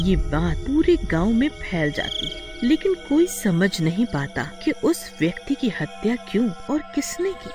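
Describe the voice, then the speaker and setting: A narrator telling a scripted horror story in a storytelling style. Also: medium pitch. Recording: loud music behind the voice. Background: loud music.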